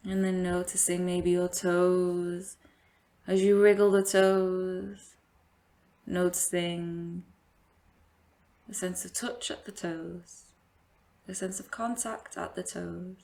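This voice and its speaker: Female meditation guide, lowish and very unhurried, gentle invitational phrasing with plenty of space. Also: flat delivery. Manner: gentle invitational instruction with long pauses. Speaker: yoga and meditation teacher.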